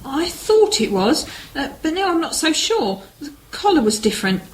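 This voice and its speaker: A woman's voice: sits high, sing-song, normal speed, low-quality recording. A British woman playing a reticent, apologetic officer's wife under questioning in a radio drama, hesitant and polite. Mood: uncertain, polite. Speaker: actor in amateur radio play. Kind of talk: scripted radio drama dialogue.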